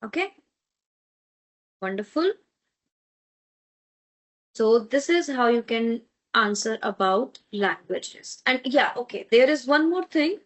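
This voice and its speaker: A woman whose first language is Tamil, teaching a beginner German class online. She repeats words slowly and keeps checking in with her students. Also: a little high, animated, low-quality recording.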